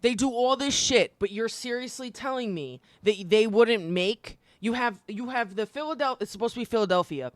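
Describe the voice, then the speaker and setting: A woman's voice: on the high side, moderate pace, few pauses, no room sound. A woman chatting casually on a livestream, informal, opinionated and slangy in an emphatic way. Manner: informal, slangy, frequent swearing. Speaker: live streamer.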